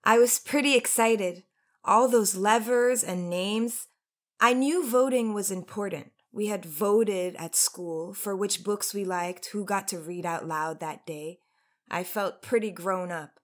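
A woman's voice: mid-range voice, measured pace, lightly acted. An actress playing an earnest, polite young woman in a radio drama. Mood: fond, reminiscing.

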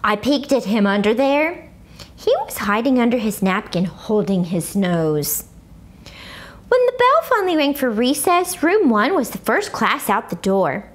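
A woman in her thirties reading a children's book aloud as an expressive, dramatic storyteller. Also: a little high, measured pace. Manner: animated, dramatic. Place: furnished living room, indoors. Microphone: lapel mic.

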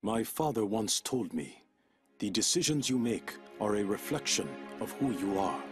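A man playing a stern, brooding samurai in a cartoon video game, speaking formally and deliberately. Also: on the low side, expressive, over music, lightly acted. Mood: solemn, brooding. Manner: formal, terse, deliberate, without contractions.

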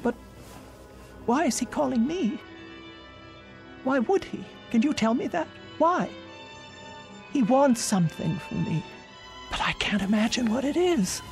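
A woman's voice: high voice, expressive, a little slow, long pauses, darkish tone, soft background music. An older actress playing an anxious, insistent, pleading elderly invalid woman in a radio drama. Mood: fretful. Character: frightened, fretful elderly invalid woman living alone.

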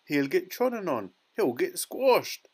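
A man voicing an irritable, alarmed father in a storybook, sharp and indignant, often shouting. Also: sits high, very animated, slow pace, breathy edge, voice pushed up, exaggerated voice. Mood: worried.

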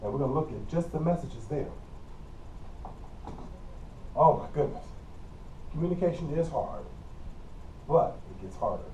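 A man's voice: a little high, animated, a little slow, pauses often, warm tone. Midwestern male instructor, roomy and distant. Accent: Midwestern American. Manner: plain, repetitive teacherly explaining with frequent coughs. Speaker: college communication instructor. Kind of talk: recorded classroom lecture. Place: empty classroom with whiteboard and air conditioner. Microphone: camera mic from across the room. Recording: roomy, distant camera audio with faint hum.

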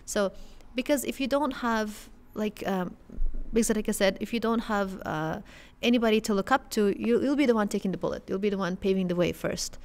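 A young woman with a mid-pitched voice talks close to a boom mic in a studio. She explains step by step, with the odd filler. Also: fairly quick, hardly any pauses, a little mellow. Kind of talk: casual conversation. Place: dark studio. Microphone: boom mic in front.

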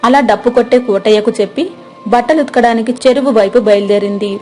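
A woman narrating a moral story and voicing the village wife, in a gentle, plain, homely way. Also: a little high, fast talker, faint music behind.

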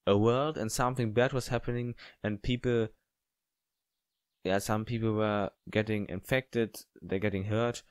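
Slow, low-voiced man with non-native English accent. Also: clean tone, no echo. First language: not English. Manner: halting, stumbles over words. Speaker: let's player reading a visual novel aloud. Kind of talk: read-aloud narration with casual asides.

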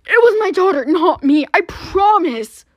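A woman's voice: very high-pitched, animated, measured pace, no room sound, highs cut off, pitched above the performer's own voice. A woman voicing a menacing kidnapper father who turns cowardly when cornered, in an amateur roleplay. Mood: panicked pleading. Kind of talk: amateur toy-app roleplay voice acting.

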